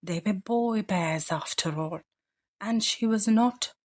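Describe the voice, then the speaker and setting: A woman reading a children's bedtime story aloud with deliberate, expressive phrasing. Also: medium pitch.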